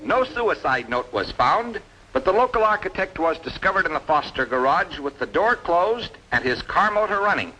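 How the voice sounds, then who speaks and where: An actor playing a brisk male radio newscaster, reading formally in a declamatory vintage style. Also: high voice, very animated, sharp tone, faint music behind, heavily acted, low-quality recording. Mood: urgent, official.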